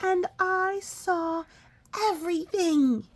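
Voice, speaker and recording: A woman's voice: extremely high pitch, slow, pitched above the performer's own voice. A woman voicing a happy young boy bat full of wonder in a picture-book read-aloud. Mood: gleeful wonder.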